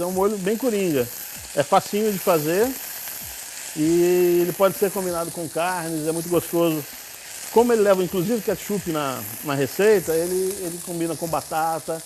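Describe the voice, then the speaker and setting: A man's voice: sits high, moderate pace, slight background noise, poor audio. Brazilian man chef cooking on TV. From Minas Gerais, Brazil. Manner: relaxed, explaining step by step with small restarts. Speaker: guest chef. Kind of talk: cooking demonstration. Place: TV studio kitchen.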